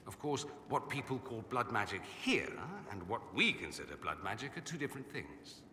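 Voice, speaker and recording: An actor playing a vain, sardonic young aristocratic mage in a video game, with a suave, theatrical and wry delivery. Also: male voice, fairly low pitch, very animated, moderate pace, bright, forceful tone, roomy sound, big-room sound, a little hiss or hum, exaggerated voice. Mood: wry, explaining. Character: witty, vain aristocratic male mage, sardonic rebel exile. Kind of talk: video game dialogue acting.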